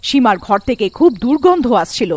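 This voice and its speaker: A woman's voice: high-pitched, sing-song, brisk, no room sound. A woman narrating a scripted cartoon fairy tale.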